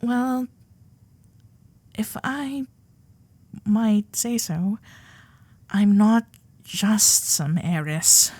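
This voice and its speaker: A woman playing a shy, starry-eyed young Gilded Age heiress in an intimate romantic ASMR roleplay, breathy and often whispering, with genteel, hesitant manners. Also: medium pitch, lively intonation, dark tone, no echo. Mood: quietly confident. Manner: genteel, old-fashioned politeness, hesitant pauses.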